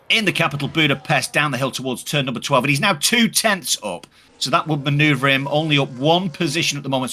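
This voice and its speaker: A man co-commentating a sim race with a crisp, bright, forceful tone at a mid pitch. He breaks down standings and lap times analytically, with a touch of dry humour. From New Zealand. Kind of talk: live esports race commentary.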